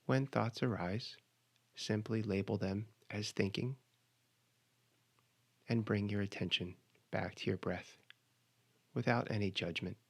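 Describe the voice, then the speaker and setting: A man leading a guided meditation, giving measured, instructional cues with pauses between phrases. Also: fairly low pitch, steady tone, slightly rough.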